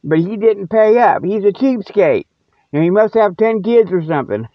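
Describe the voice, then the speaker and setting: A man's voice: sits high, expressive, moderate pace, no room sound. A man hosting his own radio show, rambling solo in a folksy, colloquial, teasing way. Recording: tinny, band-limited radio sound, very dry.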